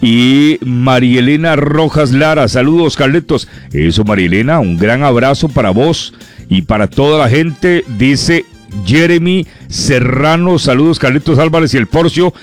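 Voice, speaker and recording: A man hosting a radio comedy show, chatting in a lively way and reading out listener greetings. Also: medium pitch, a little slow, faint music behind. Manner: lively and chatty, breaks off and repeats words.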